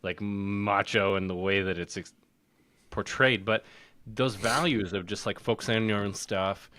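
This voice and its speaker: A man with a deep voice hosting a podcast, relaxed and easygoing in casual chat. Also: normal speed. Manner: relaxed, easygoing, laughs often. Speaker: podcast host. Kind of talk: casual conversation.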